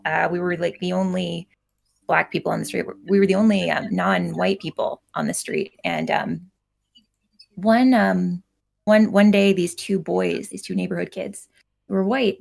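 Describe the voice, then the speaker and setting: A woman speaks in a quiet, hesitant way, her voice full of creak and set at a middle pitch. She is a guest telling a story over a video call, with plenty of fillers. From United States. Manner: soft-spoken, hesitant with many fillers. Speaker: guest comic creator. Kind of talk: casual storytelling talk.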